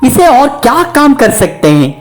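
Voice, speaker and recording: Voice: high-pitched, very animated, a little slow, soft background music, pitched above the performer's own voice. A storyteller voicing a poor, sentimental working man who talks in an earnest, pleading way in a cartoon story. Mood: worried, pondering.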